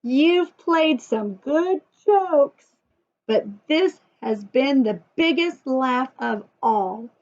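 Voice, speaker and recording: Old elephant for a children's tale, acted by a woman: fond, gasping, high-pitched, stretching words slowly. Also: animated, darkish tone, lo-fi sound, exaggerated voice. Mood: amazed, fond. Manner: gasping, drawn-out, emphatic delivery. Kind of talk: children's storybook character voice.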